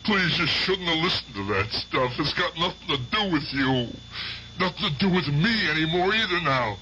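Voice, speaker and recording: Agitated aging gangster, lively pitch. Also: male voice, normal speed, slight background noise, low-quality recording. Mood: pleading. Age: older. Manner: agitated, pleading, melodramatic. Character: aging gangster uncle, guilty, pleading, haunted. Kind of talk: old-time radio drama acting.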